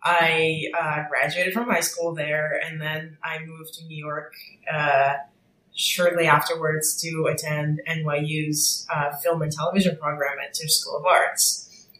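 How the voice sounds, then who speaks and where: A woman's voice: sits low, little pitch movement, unhurried, fairly bright tone. Woman in an echoey room. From Russia. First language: Russian. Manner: thoughtful, hesitant with many fillers. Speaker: podcast guest. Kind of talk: casual chat.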